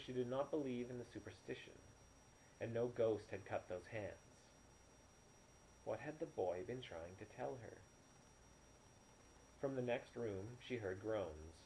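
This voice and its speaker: A man reads fiction aloud like an amateur audiobook. His voice is lowish and notably dark and soft-edged. The home recording is poor, with faint noise. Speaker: amateur storyteller. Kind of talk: audiobook narration.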